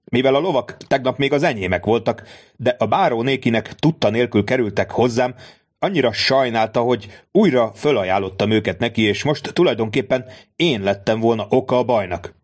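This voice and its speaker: A man voicing a composed, formal nobleman count in an audiobook, calm and dignified. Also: medium pitch, lightly acted. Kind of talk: audiobook reading of a classic novel.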